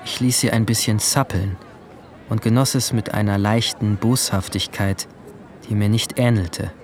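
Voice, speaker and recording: A man's voice: lowish voice, fairly even intonation, normal speed, some chatter behind, a little hiss or hum, lightly acted. A man playing a troubled, brooding seventeen-year-old boy who narrates his own story introspectively in a radio drama. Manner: introspective, literary. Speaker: narrator and lead character.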